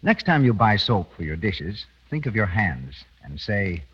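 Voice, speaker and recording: A man's voice: on the low side, very animated, unhurried, a bit bright, slightly rough. Reverberant vintage radio announcer, a man. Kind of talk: 1930s scripted radio announcing and ad read. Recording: dull vintage radio, long hall reverb.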